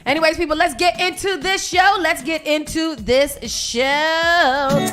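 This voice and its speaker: A woman hosting a morning radio show in a studio, chatting casually and handing out lively shout-outs. Her voice sits high, and she laughs often as she talks. Faint music plays behind her. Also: measured pace.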